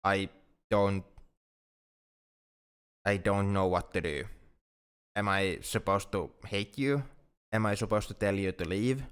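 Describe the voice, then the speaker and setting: A man acts out a self-doubting alien friend in an intimate ASMR roleplay monologue. His voice is deep and clean, with a resonant smoothness. He speaks earnestly in careful, formal wording that halts as he goes, anxious and lovesick. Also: fairly even intonation, takes it slowly, long pauses, fairly bright tone. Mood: anguished.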